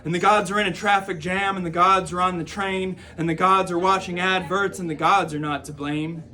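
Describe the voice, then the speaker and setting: A man recites spoken-word poetry in a voice pitched a little higher than usual for men. His delivery is rhythmic and emphatic. He stretches some phrases and lets the ends of lines soften. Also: steady tone, brisk. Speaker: poetry performer.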